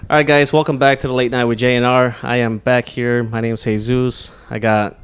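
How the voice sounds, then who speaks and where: A man's voice: mid-range voice, flat delivery, normal speed, soft background music. A man in his thirties hosting a show, asking questions in a casual, conversational way. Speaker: talk show host, interviewer. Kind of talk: casual interviewing.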